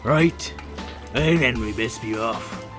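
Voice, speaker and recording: Man putting on a slow, sly old tugboat captain. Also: mid-range voice, lightly acted. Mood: sly, solemn. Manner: slow, archaic salty phrasing. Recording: music behind the voice.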